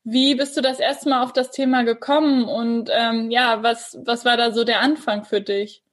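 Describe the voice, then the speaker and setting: A woman's voice: on the high side, flat delivery, normal speed. A woman hosting a podcast and talking conversationally with a guest. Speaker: podcast host and mindset coach.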